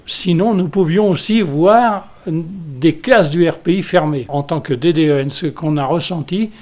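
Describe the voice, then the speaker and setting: A man from France speaking as a school delegate, explaining things unscripted in a measured way while searching for words. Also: fairly high pitch, a little mellow.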